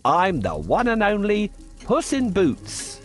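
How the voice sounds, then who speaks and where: A man voicing a clever, boastful talking cat in a theatrical, cocky way for a children's animated storybook. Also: high voice, takes it slowly, exaggerated voice. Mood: triumphant. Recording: music behind the voice. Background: music.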